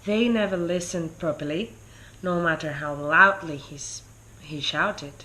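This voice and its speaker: A woman reading a children's picture book aloud in a deliberate, expressive storytelling way. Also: low voice, a little hiss or hum, low-quality recording. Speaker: story reader.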